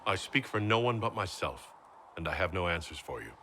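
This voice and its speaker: An actor playing a calm, resolute heroic man in a game cutscene, measured and earnest. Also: sits low, a little hiss or hum, exaggerated voice. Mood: defensive. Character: steady young male chosen hero, calm, resolute. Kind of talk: dramatic game cutscene acting.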